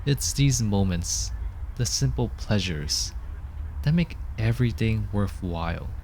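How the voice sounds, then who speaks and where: A man's voice: lowish voice, expressive, unhurried, a little mellow, slight background noise. A man playing an affectionate, playful boyfriend in a scripted ASMR roleplay, speaking with whimsical mock grandeur. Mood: playful, tender. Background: park ambience sound effects.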